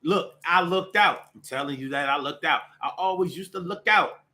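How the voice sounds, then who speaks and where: A man's voice: on the high side, moderate pace, pushed and bright. An American man giving a casual, opinionated solo monologue in streetwise slang, repeating phrases for emphasis. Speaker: solo show host.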